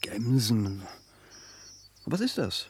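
An evasive straggler in a radio play. His medium-pitched voice moves up and down a lot, guarded and hesitant in a conversational way. Some noise of insects runs behind him. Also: very animated, very slow. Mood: guarded, curious. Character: furtive, evasive male visitor straying from the tour. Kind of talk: naturalistic radio play acting.

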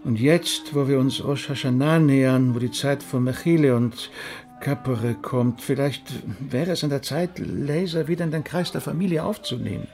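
An actor playing an earnest, tactful man who pleads politely and gently in a radio drama. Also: medium pitch, moderate pace, faint music behind, lightly acted. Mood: earnest pleading. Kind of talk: German radio drama acting.

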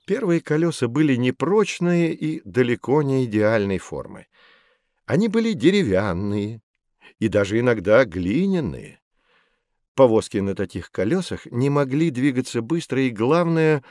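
Actor as animated, friendly male teacher. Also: mid-range voice, dry recording, clean studio sound, close to an everyday voice. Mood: explanatory. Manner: didactic, measured. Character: knowledgeable, friendly teacher guiding children through history. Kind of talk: scripted educational drama, explanatory.

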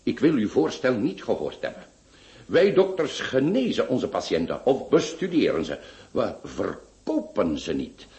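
A proud, excitable doctor. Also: male voice, lowish voice, unhurried, heavily acted. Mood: indignant. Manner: formal, French-tinged, lively. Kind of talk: radio drama acting.

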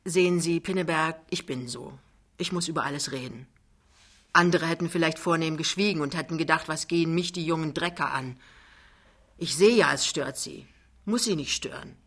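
A woman's voice: low-pitched, moderate pace, a bit bright. Woman putting on a blustering, jovial big male wheeler-dealer. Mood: confiding, chummy. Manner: blustering, colloquial, chummy. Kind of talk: dramatized literary reading.

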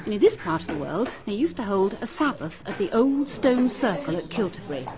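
An actress playing a stern schoolteacher who explains old folklore in a firm, lecturing way. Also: female voice, mid-range voice, big pitch swings, brisk. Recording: dull archive radio recording.

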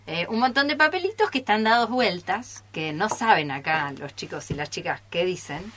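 A woman with an Argentine accent casually presenting radio theatre live, playful, halting and repeating herself. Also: on the low side, expressive, a bit bright. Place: radio studio.

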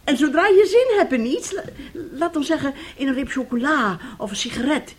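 Bossy, wheedling mother, animated. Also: high voice, moderate pace. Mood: persuasive. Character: bossy, scheming, self-pitying mother coaxing her son. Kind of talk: radio play acting.

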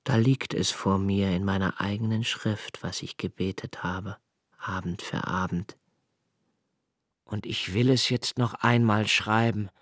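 An actor playing an anguished, brooding writer in a literary radio play monologue, speaking deliberately in halting phrases with intense emphases. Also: male voice, fairly low pitch, fairly even intonation, a bit bright, no echo, studio quality. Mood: prayerful, pleading. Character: anguished, brooding male writer confessing his dread.

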